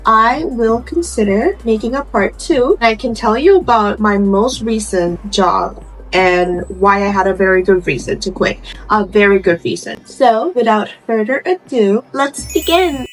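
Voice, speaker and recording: A woman, telling stories casually to camera in an animated, conversational way full of asides. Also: medium pitch, rough recording. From Puerto Rico. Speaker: host of a storytime video channel. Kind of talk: casual chatty storytelling to camera.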